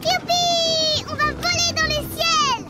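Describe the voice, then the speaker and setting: Very high-pitched little girl pig. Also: fairly even intonation, slow. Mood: thrilled. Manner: exuberant, giggly. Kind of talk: French children's cartoon dub.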